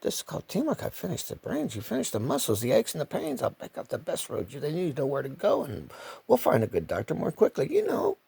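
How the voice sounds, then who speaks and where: An older man voicing a bossy, cajoling traveller from a storybook, gravelly and husky, insistently repeating his phrases. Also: on the high side, wildly swooping pitch, fast, a little mellow, dry recording, exaggerated voice.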